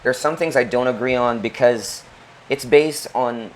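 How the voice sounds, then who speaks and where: A man's voice: mid-range voice, measured pace, slight background noise, rough recording. A man from the Philippines, co-hosting a casual chat and explaining his points step by step. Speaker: co-host.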